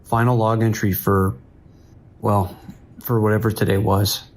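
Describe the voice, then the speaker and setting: An actor playing a weary, steady New York police dispatcher in an audio drama, speaking in a measured, procedural way. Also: male voice, lowish voice, a little hiss or hum, close to an everyday voice. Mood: weary, grim.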